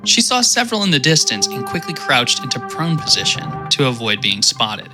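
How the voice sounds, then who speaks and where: A man hosting and narrating a scripted audio drama, telling the story in a clear storytelling delivery. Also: mid-range voice, sing-song, normal speed, poor audio. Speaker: host and narrator. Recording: faint music behind.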